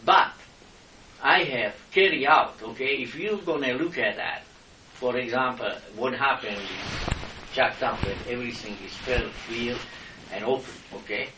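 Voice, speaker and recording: A man's voice: medium pitch, a little slow, pushed and bright, slight background noise. A middle-aged man from the Czech Republic with a Czech accent, arguing his case in an impassioned, lecturing way with non-native grammar. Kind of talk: heated argument with officials. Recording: echoey room, mic at a distance.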